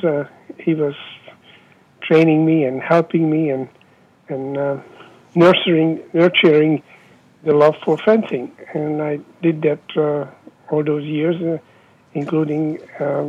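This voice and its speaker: Older Hungarian man, halting, over the phone. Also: a little high, little pitch movement, slightly rough. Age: 70s. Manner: halting, many filler pauses. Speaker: interviewee, former Olympic fencer. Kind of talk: oral history interview. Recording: dull lo-fi phone line.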